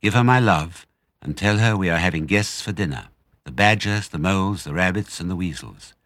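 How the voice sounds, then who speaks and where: A crafty, jovial fox father in a storybook reading, raised a little above the reader's own deep voice, as a man puts him on. He coaxes and commands with theatrical self-assurance. The sound is dry and close. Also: lively intonation, normal speed, a bit bright, no echo. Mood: delighted, generous. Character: sly, jovial male fox father, cunning and generous. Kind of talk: audiobook character reading.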